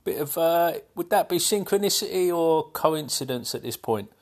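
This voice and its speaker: A man's voice: on the high side, lively intonation, moderate pace, a little mellow. A man leaving a chatty, joking voice message for a podcast. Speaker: caller. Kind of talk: recorded voice message.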